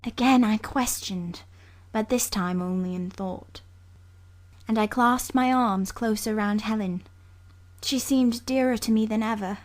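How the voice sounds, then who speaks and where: A woman reading literary narration for an audiobook in a measured, sombre storytelling manner. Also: on the high side.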